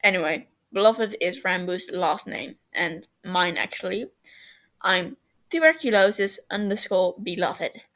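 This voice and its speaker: Woman doing a cheeky teen boy best friend in a story, blunt and teasing, slightly creaky. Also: lowish voice, expressive, a little slow, a bit bright.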